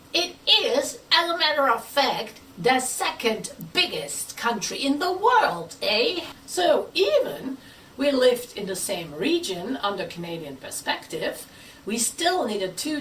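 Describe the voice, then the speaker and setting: A woman telling a story in a theatrical, scripted way, with dramatic pauses and playful asides. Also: fairly high pitch, fairly bright tone. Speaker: theatre company member telling its history. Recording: faint background noise, distant microphone.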